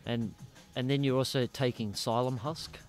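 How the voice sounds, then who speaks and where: A man's voice: medium pitch, fairly even intonation, moderate pace, soft background music. An Australian man hosting a casual podcast chat in a small studio, talking in a rambling way and swearing freely. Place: small dim studio room. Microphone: studio mic on boom arm, headphones.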